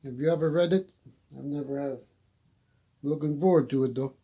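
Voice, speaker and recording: A man's voice: a little high, normal speed, warm tone, slightly rough. A man hosting storytime, reading a children's book and adding folksy, chatty asides. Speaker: storyteller and host.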